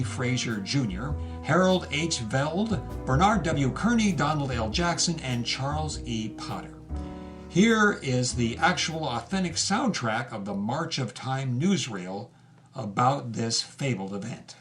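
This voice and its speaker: Man, podcast host, scripted wry delivery, mid-pitched, guitar music behind. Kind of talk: scripted narration and announcements.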